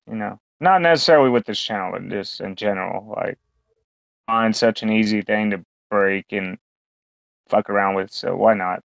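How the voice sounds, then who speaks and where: A man with a low, smooth voice rambles through a casual podcast chat, crude and heavy on fillers. He sounds close and dry. The recording is dull and lo-fi. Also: fairly bright tone, no room sound. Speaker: podcast co-host.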